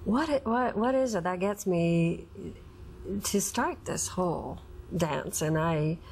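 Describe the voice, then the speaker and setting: A woman's voice: fairly low pitch, animated, a little mellow, a little hiss or hum. A middle-aged woman speaking candidly and hesitantly about personal matters as an interview guest. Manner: hesitant, halting. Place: indoor studio living-room set. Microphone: lapel mic.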